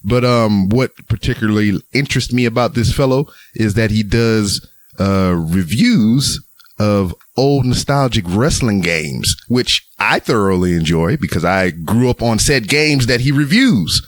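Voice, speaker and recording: A man hosting a podcast in a relaxed, joking way, swearing casually as he talks. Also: fairly low pitch, unhurried, dry recording. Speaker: podcast host.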